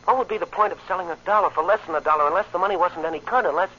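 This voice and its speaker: An actor playing an earnest, troubled young American farm man, halting and agitated, in old-time radio drama style. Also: high-pitched, bright, forceful tone. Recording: muffled, hissy old radio recording.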